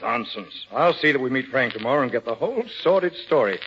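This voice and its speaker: An actor playing a genial, opinionated middle-aged doctor, wry and blunt, in the style of 1940s radio drama. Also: male voice, medium pitch, animated, fast, lightly acted. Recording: muffled, noisy 1940s radio recording.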